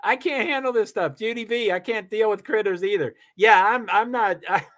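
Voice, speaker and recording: A man streaming live from home, chatting casually and rambling excitedly with lots of exclamations and restarts. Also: high voice, normal speed. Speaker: live streamer reading chat. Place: home in Washington State.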